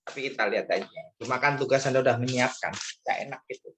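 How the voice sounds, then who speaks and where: A man's voice: medium pitch, quick pace, a bit bright, a little hiss or hum. A man lecturing in an informal, chatty way, often checking in with questions during an online class discussion.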